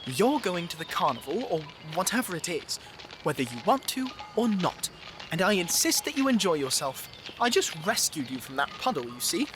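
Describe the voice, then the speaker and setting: Woman playing an animated, plucky young marten boy. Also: on the low side, normal speed, over music. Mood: firm, scolding. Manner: proper, earnest, exclamatory. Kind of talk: audio drama acting.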